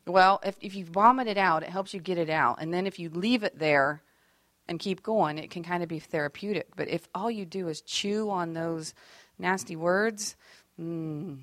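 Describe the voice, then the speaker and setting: A lively woman teaching a faith workshop, her voice sitting a little low for a woman. She talks in a chatty, self-deprecating way and acts out the lines she quotes. The sound is dry and close, with little room echo. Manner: animated and expressive, acting out quoted lines.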